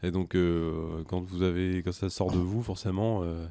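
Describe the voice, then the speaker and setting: Deep, dark-voiced man, halting in explanation. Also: fairly even intonation, no room sound. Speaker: music conservatory director, interview guest. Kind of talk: conversational interview answers.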